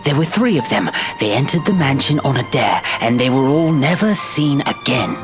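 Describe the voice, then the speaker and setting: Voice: mid-range voice, very animated, moderate pace, music in the background, lightly acted. A streamer voicing an eager schoolkid who tells a spooky story in a conspiratorial way, during a visual novel let's play.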